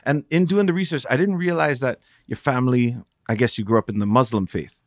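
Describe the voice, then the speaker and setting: A man's voice: mid-range voice, a little fast, no echo, clean studio sound. A man hosting an interview in an easygoing way, with short affirmations and fillers. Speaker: interview host. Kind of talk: casual interview chat.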